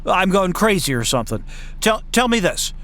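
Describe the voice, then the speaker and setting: A woman acts out a frantic husband and father in a comic audio drama. The delivery is agitated and stammering, swinging widely in pitch as he pleads. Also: very animated, normal speed, a bit bright. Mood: agitated, confused. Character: frantic, desperate family man losing his wits. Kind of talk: comic radio drama acting.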